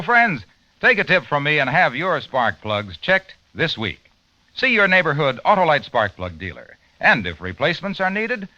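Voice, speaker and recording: A man with an American accent giving an emphatic, salesmanlike pitch as an old-time radio commercial announcer. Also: pushed and bright, no room sound. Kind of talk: 1940s-50s radio advertising pitch. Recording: dry, muffled vintage radio recording.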